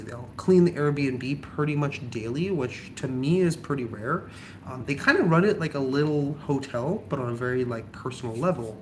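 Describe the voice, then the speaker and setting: A man's voice: medium pitch, a little hiss or hum. A young man with a cold and a slightly nasal voice, chatting casually in a vlog, rambling with lots of ums and likes. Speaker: travel vlogger.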